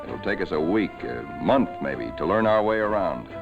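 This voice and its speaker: A man giving scripted narration for a 1940s wartime documentary, in a measured, solemn way, on an old film soundtrack. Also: low-pitched, sing-song, lo-fi sound, over music.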